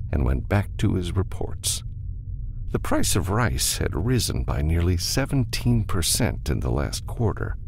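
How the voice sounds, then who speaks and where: A man's voice: low voice, vocal fry, a little mellow, a little hiss or hum. A man reading scripted fiction as an audiobook narrator.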